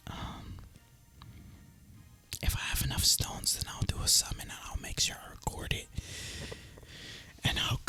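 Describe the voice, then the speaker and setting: A person speaking in a breathy, unvoiced ASMR whisper, musing casually and informally as gaming commentary. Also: pushed and bright. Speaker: host of an ASMR gaming channel.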